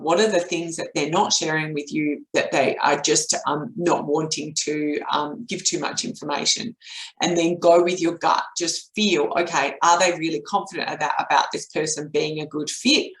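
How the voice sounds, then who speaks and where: A middle-aged woman with an Australian accent and a voice croaky from a cold, explaining steps as a business coach in a webinar. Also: sits low, steady tone, normal speed. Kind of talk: explanatory webinar talk with step-by-step recap. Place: indoor home office, at a desk. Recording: roomy, echoey webcam audio.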